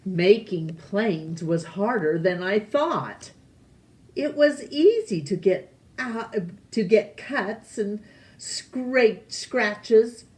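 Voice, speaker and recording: A woman reading a children's picture book aloud, deliberately, with pauses between phrases. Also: medium pitch, expressive, a little mellow. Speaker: storytime reader.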